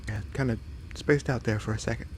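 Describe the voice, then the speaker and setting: A man's voice: mid-range voice, fairly even intonation, slight background noise, lightly acted, poor audio. A man playing a friendly, curious fox-boy monster in a roleplay audio drama, hesitant and apologetic as he addresses the listener. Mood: hesitant, questioning. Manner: hesitant, halting, apologetic, wide-eyed.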